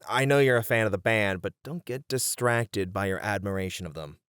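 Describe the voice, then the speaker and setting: Man playing an exasperated, low-voiced band manager. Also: brisk, a bit bright, smooth, no echo, natural voice. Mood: firm, scolding. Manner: dry, scolding, sighing exasperation. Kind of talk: scripted audio roleplay.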